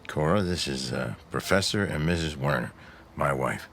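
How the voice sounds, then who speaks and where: An actor playing a reserved, courteous small-town sheriff in a radio drama. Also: male voice, rumbling low, lively intonation, moderate pace, vocal fry, fairly bright tone, a little hiss or hum, heavily acted. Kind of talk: vintage-style radio drama acting. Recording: music under the dialogue.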